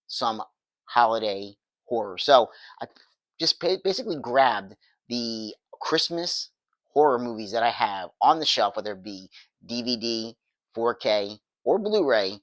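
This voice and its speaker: A man talking casually to camera, his voice worn and hoarse because he is getting over an illness. He rambles with eager energy, often stopping and restarting his sentences. The sound is dry and close, with almost no room echo. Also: medium pitch, a bit bright. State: losing his voice, recovering from illness. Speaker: movie reviewer.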